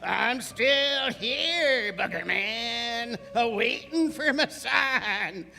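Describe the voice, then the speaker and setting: Woman playing a slow, creaky, mean old backwoods man. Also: a little high, lively intonation, sharp tone, exaggerated voice. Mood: wily, brooding. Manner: folksy backwoods drawl, cackling. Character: mean, defiant old blind man of the woods. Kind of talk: live horror storytelling performance.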